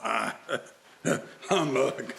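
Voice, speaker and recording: Man playing a slow, grumbling old miser. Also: medium pitch, lively intonation, creaky, fairly bright tone, exaggerated voice. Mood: scornful. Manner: slow, grudging, scornful. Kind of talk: radio play style live reading. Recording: noticeable background noise, live performance.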